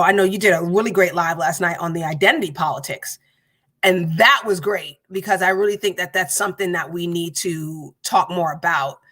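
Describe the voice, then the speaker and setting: A woman's voice: medium pitch, sharp tone. A woman hosting a casual podcast chat, speaking in an encouraging, rambling way with many restarts. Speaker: podcast host.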